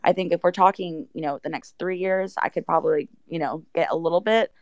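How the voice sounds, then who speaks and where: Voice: medium pitch, fairly even intonation, brisk, no room sound. Guest chatting through plans on a radio show, rambly, very dry sound. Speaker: guest, music NFT project team member. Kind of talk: casual live radio interview.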